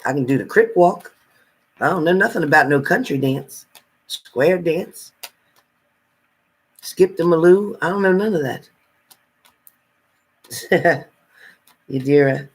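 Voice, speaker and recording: A man with a somewhat high voice talks casually on a livestream. As a tarot reader, he greets and thanks viewers by name, gives friendly advice, and laughs often. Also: expressive, takes it slowly, pauses often, slightly rough, poor audio. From New Jersey.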